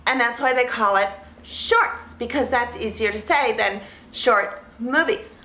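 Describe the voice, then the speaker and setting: A woman vlog host talking casually and ramblingly in a naive, childlike way, halting and repeating phrases as she searches for words. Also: fairly high pitch, bright, forceful tone, roomy sound. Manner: childlike, naive delivery in simple sentences. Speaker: vlog host reviewing films. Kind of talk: casual rambling talk to camera.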